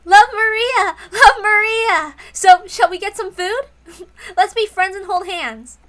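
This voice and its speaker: A woman voicing a doting young mother who soothes and gently chides with tender affection. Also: very high voice, slow pace, very noisy, pitched above the performer's own voice. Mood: loving.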